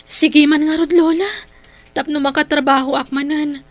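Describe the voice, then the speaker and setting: An actress with an Ilocano accent playing a hardworking, determined young daughter in a radio drama, earnest and emotive. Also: female voice, high-pitched, fairly even intonation, normal speed, dry recording, lightly acted. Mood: worried, dutiful. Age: young adult.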